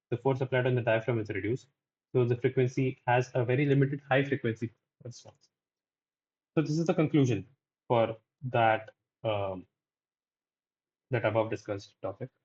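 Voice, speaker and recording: A young man giving a technical lecture explanation in a halting way, often checking in with "right?" as he goes. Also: mid-range voice, a little mellow. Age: young adult. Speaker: student presenter. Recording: dull, lo-fi microphone recording.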